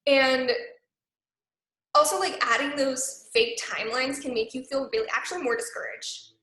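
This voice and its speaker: A young woman speaking in a loose, conversational way, filling her sentences with "like." Her voice is slightly high and very bright. It sits in a roomy, echoing space. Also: normal speed. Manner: chatty, many "like" fillers. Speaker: arts organization program director. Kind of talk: casual online talk.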